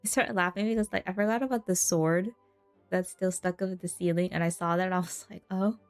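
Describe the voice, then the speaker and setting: A woman's voice: lowish voice, fairly even intonation, fairly quick, dry recording. A woman streaming a video game, chatting casually in a rambling way. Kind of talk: casual gameplay commentary, reading dialogue aloud. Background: game music.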